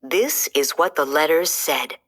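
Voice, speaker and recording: A woman reading a children's storybook aloud, with a slow, animated storytelling manner. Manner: slow, lively storytelling with dramatic pitch swings. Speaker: storybook reader. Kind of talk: children's read-aloud narration.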